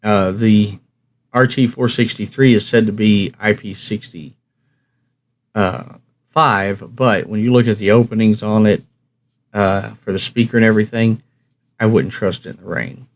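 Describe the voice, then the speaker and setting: A man with a fairly low voice talks straight to his viewers in a casual, instructional way. He sounds plain-spoken and folksy, rambling a little as he explains things like the host of a hobby review channel. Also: steady tone, a little slow.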